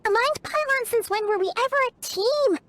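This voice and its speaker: A woman voicing a tiny, childlike fairy sidekick in a video game, excitable, naive and quick to exclaim. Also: soft background music. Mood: indignant. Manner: squeaky, exclamatory, speaks of herself in third person.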